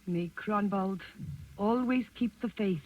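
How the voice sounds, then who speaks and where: Proud, scornful countess, muffled old radio. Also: female voice, mid-range voice, takes it slowly. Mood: solemn. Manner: cold, scornful, formal diction. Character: proud, defiant countess who despises her traitor husband. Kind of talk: 1940s radio drama acting. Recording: dull, muffled vintage 1940s radio recording.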